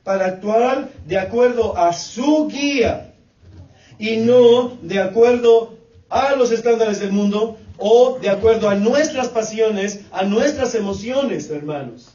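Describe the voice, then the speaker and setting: A man in his late forties preaching a sermon, repeating phrases in a steady cadence and calling the congregation brothers. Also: high voice, takes it slowly. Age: 40s. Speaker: pastor. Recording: echoey, distant, dull church recording.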